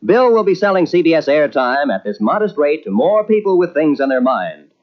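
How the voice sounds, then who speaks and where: Old radio announcer guy, kinda high voice, lively, reading credits, dull lo-fi tape. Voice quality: brisk, formal announcer delivery. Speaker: network announcer.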